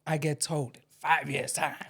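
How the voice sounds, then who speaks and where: A man's voice: fairly high pitch, flat delivery, unhurried, gravelly, a loud crowd. A man from Durban with a South African accent, a podcast guest candidly telling his story with some jokes.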